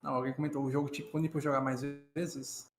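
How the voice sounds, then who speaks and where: A man's voice: medium pitch, little pitch movement, fast, soft background music. A Brazilian man co-hosting a reaction stream with casual, opinionated commentary, recorded in a roomy, slightly noisy setup.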